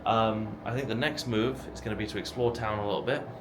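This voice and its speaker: A man's voice: lowish voice, steady tone, fast. A young man narrating a casual travel vlog with wry, dry, self-deprecating asides, filming on a handheld camera. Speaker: travel vlogger. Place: outdoors on roadsides and streets, then an indoor hotel room. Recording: loud traffic and street noise.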